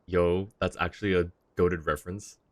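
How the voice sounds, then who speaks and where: Deep-voiced streamer chatting over gameplay. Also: male voice, fairly even intonation, moderate pace, dry recording. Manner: casual, chatty, reacting to the game. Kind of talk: live gameplay commentary.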